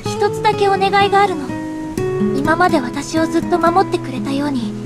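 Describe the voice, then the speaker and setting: A woman playing a gentle, quiet teenage girl in an anime game, speaking in a reserved, sincere way. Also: very high-pitched, brisk, over loud music. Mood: tender. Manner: soft-spoken, reserved, sincere.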